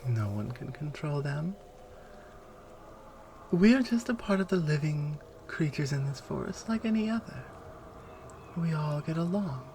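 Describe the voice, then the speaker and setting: A woman playing a stern, proud Amazonian warrior with a captive, in a taunting, deliberate ASMR roleplay. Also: deep voice, slight background noise. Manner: taunting, deliberate, hushed at times.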